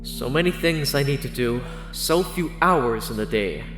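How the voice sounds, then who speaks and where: A man's voice: medium pitch, lively intonation, a little slow. A man reading scripted first-person fiction as a storyteller. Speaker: audio story narrator. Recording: loud music bed underneath.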